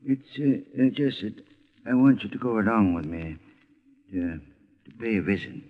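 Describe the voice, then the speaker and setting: An actor playing an uneasy older night watchman who speaks haltingly and humbly in an old radio detective drama. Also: lowish voice, lively intonation, natural voice. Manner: halting, hesitant, stammering. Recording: tinny, band-limited old radio recording.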